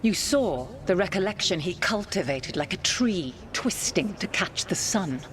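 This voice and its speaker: An actress playing an imperious, wounded fragment of an ancient goddess, who speaks in a commanding, scornful way in a video game cutscene. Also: female voice, fairly low pitch, animated, unhurried, slight background noise.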